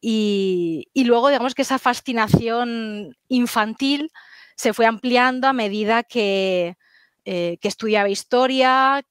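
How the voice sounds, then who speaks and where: A woman in her forties from Galicia whose first language is Galician, speaking as a historian guest over a video call with thoughtful pauses and tag questions. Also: on the high side, clear, resonant tone, no echo, clean studio sound. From Galicia, Spain. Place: home study lined with bookshelves. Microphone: headset on video call.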